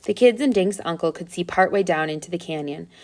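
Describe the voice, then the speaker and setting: A woman's voice: fairly low pitch, fairly quick, darkish tone. A woman reading a children's adventure story aloud as scripted narration. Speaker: storybook reader.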